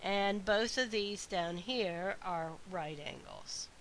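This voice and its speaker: A woman math instructor recording a lecture while congested from a sinus infection, her voice weak and stuffy, explaining slowly with frequent uhs and ums. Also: mid-range voice, a bit bright, poor audio. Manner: slow, methodical explaining with frequent uh and um. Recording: faint hiss.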